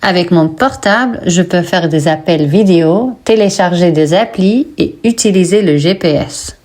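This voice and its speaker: A woman who teaches French and wrote a textbook, reading vocabulary and example sentences slowly for a pronunciation lesson. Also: lowish voice, a little mellow. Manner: slow, deliberate word-by-word reading.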